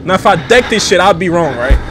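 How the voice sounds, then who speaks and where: A young man commenting casually as he plays a horror game, loud and joking, repeating phrases, on a headset mic in a small room. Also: sits high, animated, fast, music in the background. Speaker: gaming streamer. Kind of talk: casual let's-play commentary.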